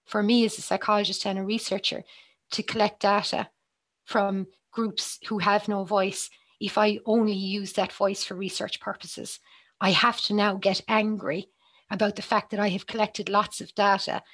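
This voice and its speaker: A woman's voice: mid-range voice, flat delivery, moderate pace, fairly bright tone. A woman, a psychologist speaking earnestly on a panel over a video call, with many ums and restarts. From Ireland. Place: small room with bookshelves, indoors. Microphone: headset on a video call.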